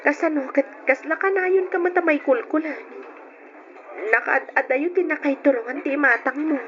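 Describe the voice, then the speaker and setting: A woman's voice: high-pitched, normal speed, lightly acted. A young woman with an Ilocano accent playing an affectionate, questioning girlfriend in an old radio drama. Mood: concerned. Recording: noisy, dull lo-fi radio recording.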